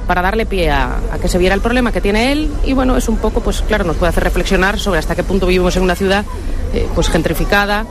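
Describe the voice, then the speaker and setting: A woman's voice: mid-range voice, fast talker, over music. A woman explaining her project in a radio interview, rambling with many fillers and restarts. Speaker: interviewee, photographer. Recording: street noise in the background.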